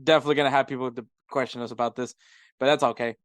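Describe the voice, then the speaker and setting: A man's voice: mid-range voice, steady tone, rapid-fire, dry recording. A man co-hosting a sports podcast in casual soccer chat, with an informal, jokey way of talking.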